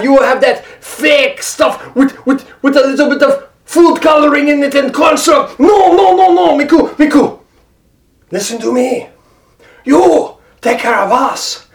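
A woman's voice: high voice, roomy sound, distant mic, pitched above the performer's own voice. A woman storyteller voicing a dramatic, self-important maple tree with a mock French Canadian accent, pleading and repeating words. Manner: theatrical, pleading, repetitive. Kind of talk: live folktale storytelling.

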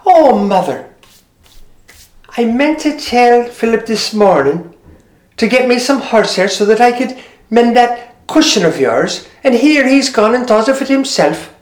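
A storyteller voicing a busy housewife who talks high and stammers when flustered. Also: very animated, measured pace. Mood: cheerful. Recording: roomy echo.

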